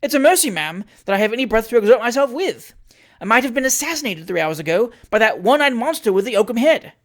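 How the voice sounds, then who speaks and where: Female narrator doing indignant gent, shrill, near-shouting, wide swings. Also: animated, quick pace, natural voice. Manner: loud, near-shouting outbursts. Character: agitated indignant gentleman, shrill and panicky.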